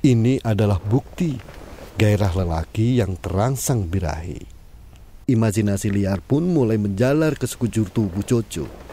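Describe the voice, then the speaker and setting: A man narrating an audio drama in a measured storytelling manner. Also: fairly low pitch, expressive, mellow, a little hiss or hum. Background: faint music.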